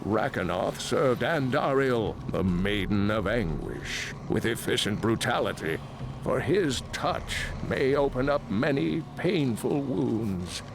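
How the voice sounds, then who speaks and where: An actor playing a grave old scholar who narrates video game lore in a measured, formal, gravelly storytelling voice. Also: male voice, mid-range voice, lively intonation, background noise.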